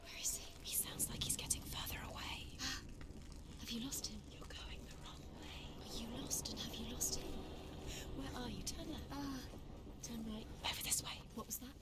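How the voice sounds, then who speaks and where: An actress playing anxious spirit voices inside someone's head, muttering in furtive, urgent, breathy fragments. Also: female voice, fairly high pitch, steady tone, moderate pace, natural voice. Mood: confused, taunting. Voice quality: breathy whisper.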